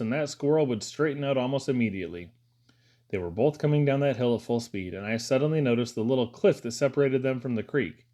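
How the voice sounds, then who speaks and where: A man's voice: medium pitch, warm tone. A man reading a first-person story aloud as an audiobook narrator. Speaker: audiobook reader.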